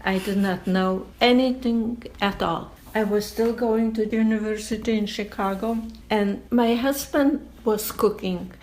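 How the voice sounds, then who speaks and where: Slow-speaking older woman from Lithuania. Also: mid-range voice, a little mellow, poor audio. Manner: slow, deliberate, explaining patiently. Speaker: interviewee. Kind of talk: oral history interview.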